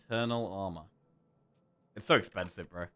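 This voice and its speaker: A man's voice: low voice, normal speed, fairly bright tone, faint music behind. A man with a sore throat giving casual gameplay commentary as a streamer, thinking aloud through his choices. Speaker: game streamer.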